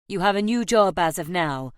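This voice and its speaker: A woman voicing a terse, authoritative gentleman and former army officer in an audiobook novel. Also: lowish voice, expressive, moderate pace, clean tone, no room sound, clean studio sound, natural voice. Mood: commanding.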